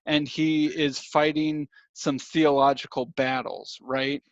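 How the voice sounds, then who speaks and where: Higher-voiced man explaining casually, dry dull audio. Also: flat delivery, slow, no room sound. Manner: colloquial, explanatory, checking in with "right?". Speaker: podcast host. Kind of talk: casual theological discussion.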